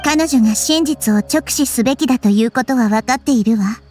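A woman voicing a small, wise, gentle childlike goddess in an anime game, speaking in a measured, thoughtful way. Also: a little high, lightly acted. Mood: thoughtful, troubled. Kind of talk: Japanese anime game voice acting. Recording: studio voice over game music.

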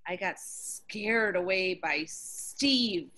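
A woman's voice: mid-range voice, expressive, very slow, bright, forceful tone. A woman reading a sitcom script aloud at a table read over a video call.

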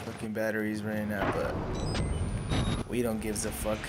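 A man's voice: on the low side, flat delivery, moderate pace, very noisy. A young man doing casual gameplay commentary, slangy and foul-mouthed, often repeating himself. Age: young adult. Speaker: gamer, streamer. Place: small room indoors at home. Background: loud game audio and music.

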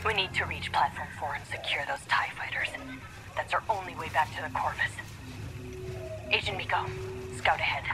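An actress playing a stern young imperial squad commander in a video game, with a clipped, authoritative and controlled delivery. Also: female voice, low-pitched, normal speed, long pauses, over music, close to an everyday voice. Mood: resolute. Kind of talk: cinematic military video game acting.

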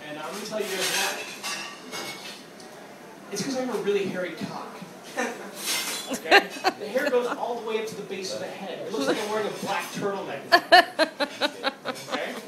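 Male stand-up, high voice, rambling and crude, handheld mic in an echoey room with a laughing crowd. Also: moderate pace, long pauses. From upstate New York. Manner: loose, rambling, crude, full of "like" and "okay?". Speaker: stand-up comedian. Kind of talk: open mic stand-up set. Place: small dark theater stage with a live audience.

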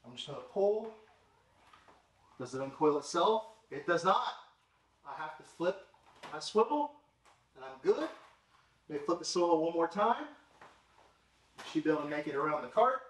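A man's voice: a little high, very animated, moderate pace, pauses often. A young man hosting a car-care channel, casually explaining as he works with his hands in a home garage, talking to a camera mic while he moves around. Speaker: car detailing channel host. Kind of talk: casual product demo. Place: home garage with tiled floor.

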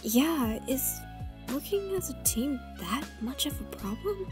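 Woman as friendly young hero, high pitch, agreeable, comic dub, clear background music. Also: expressive, a little slow. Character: friendly, agreeable young warp-portal superhero. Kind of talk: acted comic dub dialogue. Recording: prominent music behind the voice.

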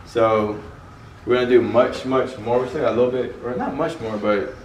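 A man's voice: mid-range voice, moderate pace, distant mic. A young man chats easily through a house tour, sprinkling in fillers and laughs. His voice sits a little away from the camera mic. The room around him rings and echoes. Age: young adult. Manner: relaxed, filler-heavy, laughs often. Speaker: vlogger giving a house tour. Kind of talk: casual house tour chat. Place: large house with game room, indoors. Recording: roomy, off-mic with faint room noise.

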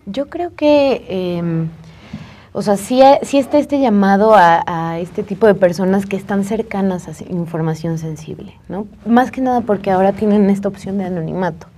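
A woman's voice: mid-range voice, normal speed, dark tone. A woman, talking informally as a guest in a studio interview. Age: 20s. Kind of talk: informal panel interview talk. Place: TV studio, indoors, round table.

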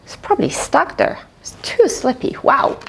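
A middle-aged woman reading a picture book aloud to children, expressive and teacherly, with questioning asides. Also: high voice, moderate pace, gravelly, rough recording. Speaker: children's storyteller, host. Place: small indoor fabric tent with cushions.

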